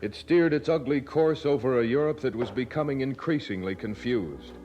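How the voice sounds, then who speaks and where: A man's voice: medium pitch, normal speed, warm tone. Solemn male radio narrator over music. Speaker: announcer and narrator. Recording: dull old radio recording.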